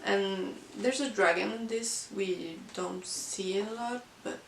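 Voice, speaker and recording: A woman who is not a native English speaker, chatting casually about books as a vlogger in a halting, hesitant way. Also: medium pitch, gravelly. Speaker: book vlogger. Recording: roomy, distant mic with hiss.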